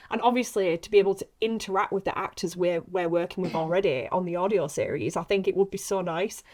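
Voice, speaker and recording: A woman's voice: mid-range voice, darkish tone. A young woman, an actress, chatting casually on a podcast in a bubbly, giggly, informal way. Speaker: actress being interviewed.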